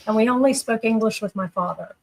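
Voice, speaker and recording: A woman's voice: on the high side, fast, poor audio. A woman telling her personal story casually as a guest, in a reflective, conversational way. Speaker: guest panelist.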